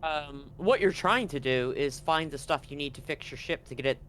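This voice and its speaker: A man's voice: on the high side, normal speed, a bit bright. A man running a tabletop role-playing game as game master, talking casually to the players over background noise. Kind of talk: tabletop role-play game narration and chat.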